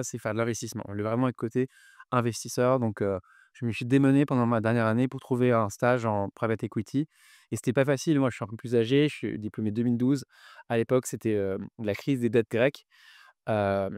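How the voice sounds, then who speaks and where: A man in his thirties from New Caledonia answering interview questions conversationally, often pausing on fillers and repeating words. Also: mid-range voice, darkish tone, clean tone, no echo, clean studio sound. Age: 30s. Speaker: podcast guest, finance executive.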